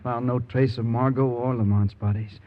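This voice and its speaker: A man's voice: medium pitch, steady tone, normal speed, lightly acted. A man acting an earnest younger tunnel construction boss in an old radio drama. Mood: earnest, subdued. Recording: muffled old radio recording.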